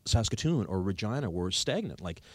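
A man's voice: on the low side, very animated, a little fast, dry recording. A man with a Canadian accent hosting a radio show in a conversational interview style. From Victoria, Canada.

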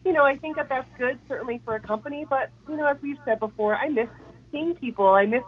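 A woman guest with a head cold, her voice raspy and hoarse, chatting casually with lots of fillers. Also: high-pitched, fast, narrow, tinny audio. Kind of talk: casual radio chat.

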